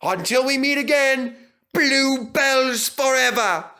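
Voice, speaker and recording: A man's voice: very high voice, takes it slowly, bright, forceful tone, voice pushed up, full character voice. A man voicing an eager, plucky, polite steam engine in a children's story. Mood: excited, cheerful.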